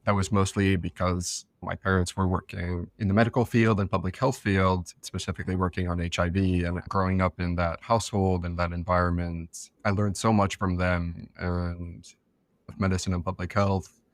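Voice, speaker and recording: A man's voice: low-pitched, flat delivery, moderate pace, no room sound. A middle-aged man being interviewed as an expert guest, speaking thoughtfully and often restarting his sentences. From France and elsewhere. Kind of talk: conversational podcast interview.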